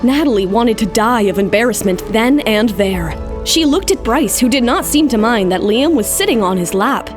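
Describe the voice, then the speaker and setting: A woman's voice: a little high, animated, normal speed. A woman narrator telling a scripted dramatic story, with music playing behind her. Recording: loud music under the voice.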